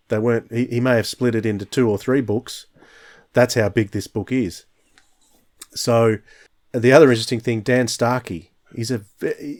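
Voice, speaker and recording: A man's voice: medium pitch, a little mellow. A man co-hosting a podcast, chatting casually and rambling with many restarts. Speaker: podcast co-host. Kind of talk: casual chat.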